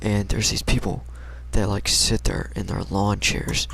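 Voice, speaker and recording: A man's voice: fairly low pitch, little pitch movement, measured pace, vocal fry, a bit bright, poor audio. A young American man casually telling a personal story in a rambling, slangy, hesitant way. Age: young adult.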